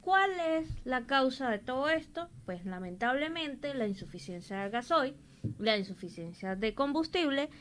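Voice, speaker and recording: A woman's voice: high-pitched, expressive, normal speed, fairly bright tone, a little hiss or hum. A woman presenting and commenting on the news as a radio host.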